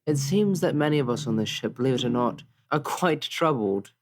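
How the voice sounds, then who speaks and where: Man playing a gentle, wistful pirate crew member. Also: mid-range voice, expressive, a little fast, voice pushed up, lightly acted. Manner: soft-worded, polite, reflective.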